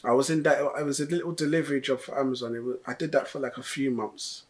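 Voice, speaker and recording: A young man talks through his story as an interviewee. He is earnest and a little halting, often stopping to restart or repeat words. His pitch sits in the middle range for a man. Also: level tone. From London. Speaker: delivery driver. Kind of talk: interview testimony.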